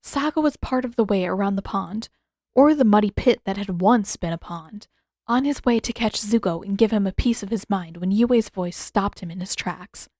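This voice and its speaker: A woman's voice: medium pitch, normal speed, darkish tone, dry recording, clean studio sound. A woman reading scripted fiction aloud as an audiobook narrator.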